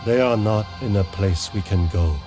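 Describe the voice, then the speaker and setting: An actor playing a wise, grave sage in a video game cutscene, solemn and instructive. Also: male voice, on the low side, moderate pace, music in the background, lightly acted.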